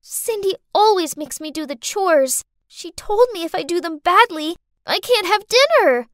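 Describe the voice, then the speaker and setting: A high, wailing little girl's voice that swings wildly between tearful pleas and sly taunts, as a woman puts her on. She sounds small and manipulative, recorded close and dry for an animated story. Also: unhurried, no echo, exaggerated voice. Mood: tearful pleading. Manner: whiny, pleading child voice.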